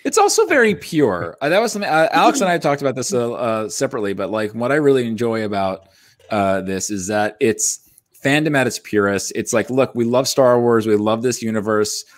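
A man's voice: mid-range voice, lively intonation, a little fast, no room sound. A man on a livestream panel, chatting informally and acting out imagined remarks as he goes. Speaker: panelist on a group stream. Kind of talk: casual chat on a livestream. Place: indoor home setup on a group video call.

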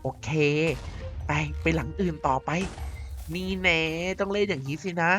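A woman's voice: low-pitched, moderate pace, a bit bright, faint music behind. A woman voicing a mischievous ancient vampire queen in a Minecraft cartoon, playfully scheming and muttering to herself. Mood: gleeful.

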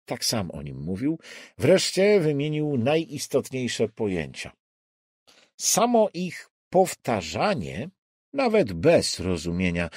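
A man with a lowish voice narrates a suspense thriller audiobook. He takes his time with deliberate pauses, and his pitch moves in a lively, dramatic way. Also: no room sound, clean studio sound.

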